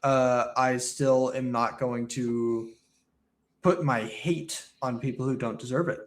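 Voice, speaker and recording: A man's voice: medium pitch, steady tone, takes it slowly. A man on a live stream call, arguing guardedly with the host. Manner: guarded, pushes back with short retorts. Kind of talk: debate with a host.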